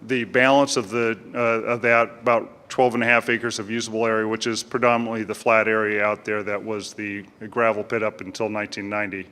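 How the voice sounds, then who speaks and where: A middle-aged man giving a measured presentation at a community meeting, with frequent fillers and restarts. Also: lowish voice, flat delivery, bright, forceful tone, clean tone. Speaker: commercial real estate broker. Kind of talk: public comment presentation. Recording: speaking in a hall with long reverb.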